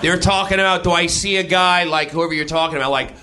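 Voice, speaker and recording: Comic American male, nasal and sharp, slightly high pitch, halting confessional rambling. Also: steady tone. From Los Angeles, United States. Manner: rambling, halting, self-interrupting. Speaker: comedian guest and podcaster. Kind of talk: casual comedic confession to an audience.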